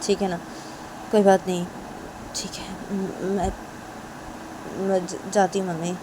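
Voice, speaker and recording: A woman's voice: medium pitch, level tone, slow, background noise, natural voice. A woman voicing a meek young woman who stammers out apologies. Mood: soothing.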